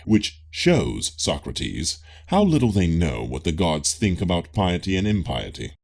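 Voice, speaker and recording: A man's voice: deep voice, huge pitch swings, a little slow, vocal fry, darkish tone, exaggerated voice. A man voicing a self-assured, pompous Greek man of religion in a declamatory audiobook reading of a philosophical dialogue. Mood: self-assured, indignant.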